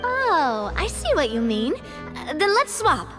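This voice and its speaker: A woman's voice: high voice, measured pace, music in the background. A woman playing a confident, cheerful and gracious young lady boss in a video game, speaking in an encouraging way. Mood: eager. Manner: bright, encouraging, animated.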